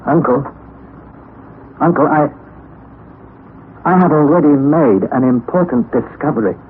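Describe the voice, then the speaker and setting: A young actor playing a wry, anxious nephew who narrates the story, in a 1960s radio drama on a tinny, lo-fi broadcast recording. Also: male voice, fairly high pitch, measured pace, a little hiss or hum, close to an everyday voice. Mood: eager. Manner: earnest storytelling, rising to excited outbursts.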